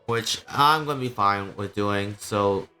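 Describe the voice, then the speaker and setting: A man with a fairly low voice chats casually over gameplay on a livestream. His delivery is halting and rambling, with faint music playing behind him. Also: bright, forceful tone. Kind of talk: casual gameplay stream commentary.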